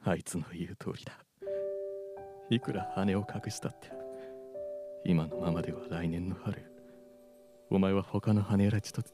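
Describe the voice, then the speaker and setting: A man's voice: low voice, fairly even intonation, unhurried, pauses often. A man playing a stern, protective soldier ant with a commanding manner in a radio drama. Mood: subdued. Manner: commanding, threatening. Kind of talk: live radio drama acting. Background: music.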